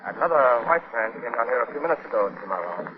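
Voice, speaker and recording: A man's voice: mid-range voice, animated, fast talker, muffled recording, close to an everyday voice. An actor playing an earnest, decent plantation man in a 1940s radio drama, wary and determined. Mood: urgent, wary.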